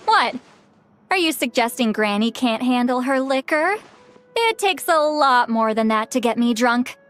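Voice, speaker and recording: A playful, proud video game granny with a youthful sound. Her voice is very high and swings widely in pitch. She is breezy, theatrical and a little boastful, over music. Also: animated, unhurried, a bit bright. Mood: flustered, breezy.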